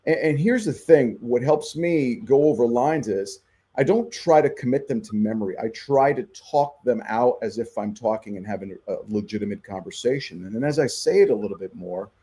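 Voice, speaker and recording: A man's voice: mid-range voice, normal speed, husky. A middle-aged man, an actor and touring musician, talking earnestly in a casual radio interview. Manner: earnest, animated, says "man" and "you know" a lot.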